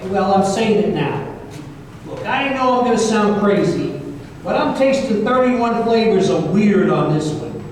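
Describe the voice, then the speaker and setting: Sardonic, paranoid private eye played by a man, high-pitched, wry and halting, old-time radio drama, distant in a reverberant hall. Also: expressive. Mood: weary, wry. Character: world-weary, sardonic, paranoid male private investigator, narrating his case. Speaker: narrator and lead detective. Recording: very echoey hall, distant mic, faint noise.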